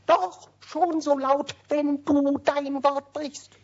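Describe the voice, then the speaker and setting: A small, jealous kobold in a children's radio play. The voice is very high and unhurried, insistent and pouting. The old recording sounds dull. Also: female voice, fairly even intonation, darkish tone. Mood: indignant, sulky. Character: small, jealous, cheeky kobold, indignant and greedy.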